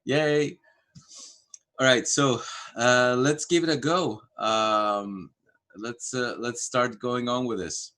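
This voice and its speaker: A man from Greece, a Greek native speaker, talking casually on a video-call livestream, pausing often with fillers and restarts. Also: mid-range voice, a bit bright. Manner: halting, many fillers and restarts. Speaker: framework core team member.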